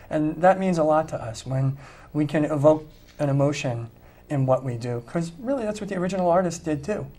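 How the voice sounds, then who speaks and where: A man's voice: on the high side, a little mellow. A middle-aged man, a musician talking conversationally as an interview guest. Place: small TV studio, indoors.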